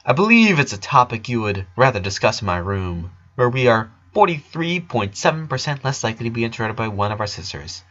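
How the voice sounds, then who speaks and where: A man's voice: mid-range voice, very animated, a little fast, few pauses, fairly bright tone, dull audio. A man voicing a precocious four-year-old genius girl with formal, wordy, matter-of-fact speech, in a cartoon fan fiction reading. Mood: clinical, calm.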